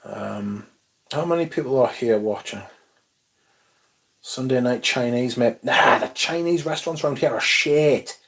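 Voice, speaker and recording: A young man hosting a casual livestream, reading chat comments aloud and chatting in a loose, relaxed way with casual swearing. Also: mid-range voice, measured pace, creaky. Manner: laid-back, swears casually, mumbles asides, reads chat aloud. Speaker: livestream host.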